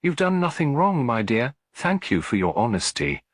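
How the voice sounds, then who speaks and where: Guy doing a kindly old lady detective, gentle, calm, lilting, slightly husky, audiobook story. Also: medium pitch, moderate pace, no room sound, studio quality, voice pushed up. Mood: reassuring. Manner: gentle, reassuring.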